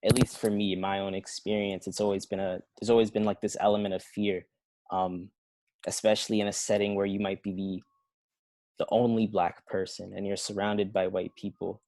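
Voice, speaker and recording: A man's voice: on the low side, little pitch movement, moderate pace, a little mellow, no room sound. A young man in a casual group discussion, speaking reflectively and hesitantly with many ums. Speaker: participant.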